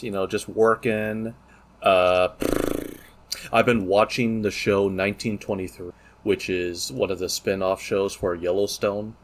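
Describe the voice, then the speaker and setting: Male podcast co-host, lowish voice, casual and joking, comfortable with coarse language. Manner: profane, joking banter. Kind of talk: casual chat.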